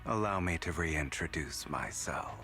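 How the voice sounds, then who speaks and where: An actor playing a suave, mocking gentleman thief and con artist in a dramatic game cutscene, with a grandiose, taunting delivery. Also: extremely low pitch, lively intonation, a little slow, soft background music, exaggerated voice. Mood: boastful.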